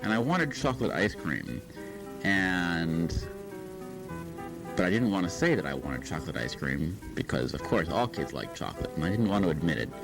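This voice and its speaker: Give the voice of a man with a very deep pitch, recounting a personal anecdote in a casual, halting way. Music plays clearly behind him. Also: big pitch swings, poor audio.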